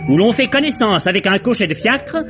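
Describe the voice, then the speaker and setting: Very high, animated chapter announcer. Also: male voice, fairly quick. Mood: playful, grandiose. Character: theatrical, playful male announcer of serial chapters. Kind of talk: theatrical scripted narration, old French radio. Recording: dull vintage radio recording, loud music. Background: loud music.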